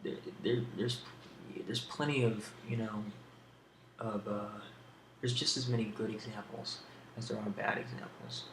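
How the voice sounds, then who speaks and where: Low, creaky-voiced man in casual ASMR chat. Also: steady tone, a little slow. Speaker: video creator answering tag questions. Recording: roomy echo, clear background noise.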